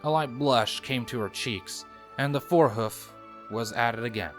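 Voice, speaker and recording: A man's voice: mid-range voice, moderate pace. A man reading scripted story narration as a storyteller. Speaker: narrator reading fan fiction. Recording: music playing behind the voice.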